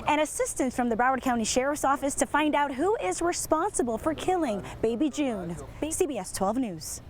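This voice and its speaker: A woman's voice: sits high, lively intonation, fairly quick. A woman with an American accent delivering a live television news report.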